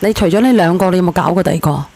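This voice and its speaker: A woman's voice: on the low side, racing, vocal fry, dark tone, no room sound. Woman host with a dry close sound. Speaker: call-in show host. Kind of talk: casual probing call-in talk.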